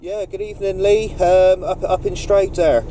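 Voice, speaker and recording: A man with a slightly high voice works as a CB radio net operator, giving procedural calls and courteous replies. He sounds away from the mic, with loud radio and vehicle noise all around. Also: fairly even intonation, normal speed. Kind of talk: radio call-outs and casual operator chat. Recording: noisy vehicle CB radio setup, distant mic.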